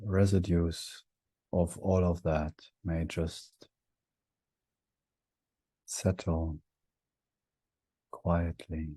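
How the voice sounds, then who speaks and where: A man leading a guided meditation in a gentle, hushed manner, leaving long pauses between short phrases. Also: deep voice, level tone, slightly rough, no room sound.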